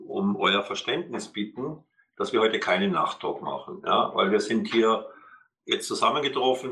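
Bright-voiced man hosting. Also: on the low side. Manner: rambling, laughs between phrases. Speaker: host. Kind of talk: closing remarks to an audience.

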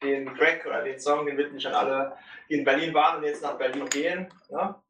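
A man's voice: on the high side, fairly even intonation, brisk, fairly bright tone, slight background noise. Man singing from far off. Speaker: singer. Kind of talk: singing a parody song. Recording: roomy, from far off.

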